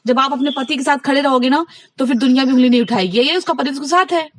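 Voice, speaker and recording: A woman's voice: high-pitched, quick pace, no echo. A woman in a casual, gossipy phone conversation, rambling earnestly as she retells exchanges and gives advice. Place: phone call recording.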